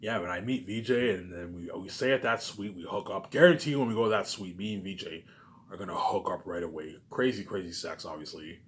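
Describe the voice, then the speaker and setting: A man talks very quickly in a fairly low voice. His casual monologue rambles and circles back on itself, with emphatic repetition and frequent tag questions. There is some noise in the recording.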